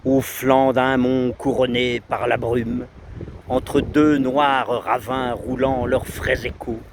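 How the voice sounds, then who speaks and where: Wind buffets the microphone and the sea rumbles around a man reciting verse. His delivery is slow and solemn, with a declamatory weight and long dramatic pauses between phrases. His voice sits at an ordinary middle pitch for a man. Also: level tone. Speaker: poetry reciter. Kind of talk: outdoor recitation of a 19th-century poem. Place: outdoors under trees on a windy seashore. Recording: loud wind buffeting the mic, roaring sea.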